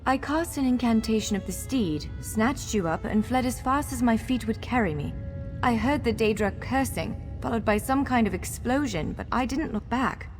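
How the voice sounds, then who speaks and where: Fantasy game guide: actress as a courteous, wry elf woman scholar, higher-pitched and expressive, music underneath. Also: moderate pace, close to an everyday voice. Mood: wry, informative. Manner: articulate, formal but playful. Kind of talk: video game character dialogue. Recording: long hall reverb over music.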